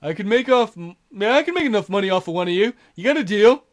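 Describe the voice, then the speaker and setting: A man voicing a smug male villain who mocks his captives in a smooth, gloating way. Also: high voice, animated, fast talker.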